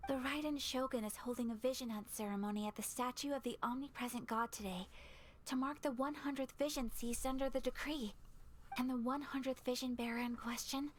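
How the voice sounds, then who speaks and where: An actress playing a poised, earnest young noblewoman in a video game, speaking formally and gravely. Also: high voice, slight background noise, close to an everyday voice. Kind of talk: English video game voice acting.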